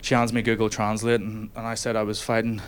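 A young man telling a stand-up comedy story to a live audience, with self-deprecating, deadpan asides. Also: on the low side, fast, a little hiss or hum.

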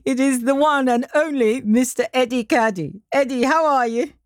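High-voiced woman presenting, playful and dry-sounding. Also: normal speed, dry recording. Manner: teasing, playful. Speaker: radio presenter. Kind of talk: conversational radio interviewing.

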